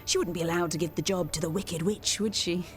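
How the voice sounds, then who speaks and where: An actress playing a troubled café customer with a wry, self-deprecating manner in a radio soap. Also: female voice, fairly low pitch, steady tone, brisk, faint music behind, natural voice. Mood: reflective.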